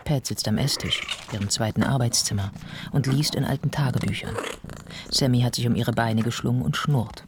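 An actor playing a composed, observant storyteller, narrating a radio drama in a measured way. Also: male voice, medium pitch, background noise, natural voice. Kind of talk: scripted radio play narration. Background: music.

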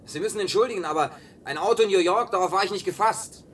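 An actor dubbing an awkward, gruff man wandering alone after the end of the world, muttering curses. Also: high-pitched, fast, a bit bright, slight background noise. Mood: bewildered. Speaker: Jim, survivor on foot. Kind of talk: German film dub.

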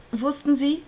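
Defiant young woman, higher voice. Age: young adult. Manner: emotional, defiant, guarded. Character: young woman, defiant, guarded close friend of victim. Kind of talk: naturalistic German crime drama dialogue.

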